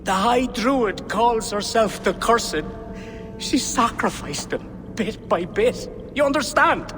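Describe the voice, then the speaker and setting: A man's voice: high voice, animated, slow. An actor playing a frightened male prisoner, who pleads in panic. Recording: game audio with long reverb and music.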